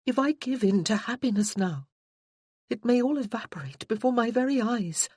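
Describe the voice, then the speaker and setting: A proud, fiery young Regency lady, as a reader puts her on, haughty and forceful, pitched higher and louder than the narration. Her tone stays slightly husky and very close and dry. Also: lively intonation, moderate pace, dry recording. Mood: intense, haughty. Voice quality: haughty, fierce.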